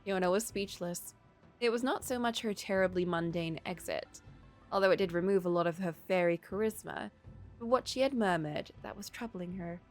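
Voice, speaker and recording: A woman streamer reading a story aloud with expressive narration. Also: lowish voice, moderate pace, soft background music.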